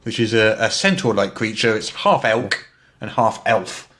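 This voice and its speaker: A man's voice: lowish voice, lively intonation, measured pace, background noise. A man co-hosting a podcast, casually chatting through gaming news. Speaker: podcast co-host.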